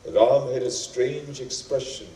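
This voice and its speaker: A man narrating an epic as a stage actor, dramatic and deliberate, with weighty pauses. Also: medium pitch, warm tone, slight background noise. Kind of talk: theatrical epic storytelling. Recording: roomy, echoing, distant microphone.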